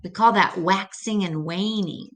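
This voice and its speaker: A woman's voice: mid-range voice, measured pace, a bit bright. An older woman reading an educational children's book aloud, adding simple, patient explanations as she goes.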